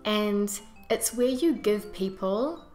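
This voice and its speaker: A woman's voice: mid-range voice, expressive, slow, a little mellow. A young woman with a New Zealand accent chatting casually and emphatically to her viewers as a vlogger, with conversational asides. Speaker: vlogger, expat presenter. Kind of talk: casual to-camera vlog chat. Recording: roomy echo with music behind. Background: background music.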